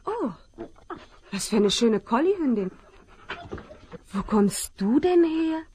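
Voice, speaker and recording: A young actress playing a frightened but kind rancher's daughter in a Western radio play, pleading and anxious. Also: female voice, a little high, sing-song, takes it slowly, dark tone, slight background noise. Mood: tender. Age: young adult. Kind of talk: 1970s German radio play acting.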